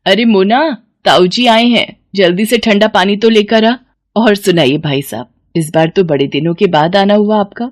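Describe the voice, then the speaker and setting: A woman's voice: medium pitch, normal speed, dry recording, close to an everyday voice. Woman playing a weary, nagging middle-aged mother. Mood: respectful, earnest. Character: scolding, worn-out middle-aged village mother, respectful to elders.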